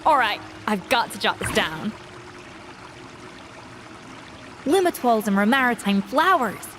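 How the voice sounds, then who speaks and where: A woman's voice: a little high, very animated, normal speed, a bit bright, faint music behind. A woman playing an observant, inquisitive young female chef in anime-style video game dialogue. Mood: intrigued. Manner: inquisitive, analytical.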